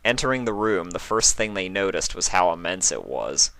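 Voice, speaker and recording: A man narrates a story from a script. His voice sits fairly low, and the recording is very dry, with hardly any room around it. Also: big pitch swings, normal speed, fairly bright tone, no echo. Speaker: fan fiction reader and narrator.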